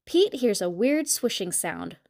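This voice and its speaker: A woman reading a storybook aloud to young children in an expressive, deliberate storytelling manner. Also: mid-range voice, no echo, studio quality. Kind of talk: scripted read-aloud narration for young children.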